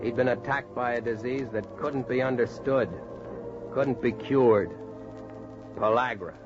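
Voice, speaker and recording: An actor playing a plain-spoken, heartfelt American storyteller in 1940s radio-drama style, with a folksy, emphatic manner. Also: male voice, mid-range voice, a little slow, over music, close to an everyday voice. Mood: grave. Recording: noisy, dull 1940s radio recording.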